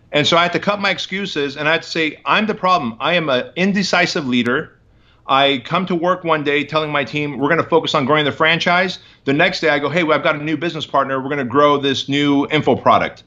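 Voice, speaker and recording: A forceful, bright-toned man in his forties tells his story as an interview guest, hammering his points home like a motivational speaker. He talks over a video call from his office, and the audio sounds a little dull and muffled. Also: a little high. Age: 40s. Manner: emphatic, punchy motivational delivery. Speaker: guest entrepreneur. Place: office with bookshelves, indoors. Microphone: video call webcam.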